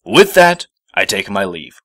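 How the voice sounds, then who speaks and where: A man voicing a stiff male professor pony with a gruff, formal, slightly sheepish manner in a storybook reading. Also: fairly low pitch, sing-song, unhurried, fairly bright tone, no room sound, studio quality.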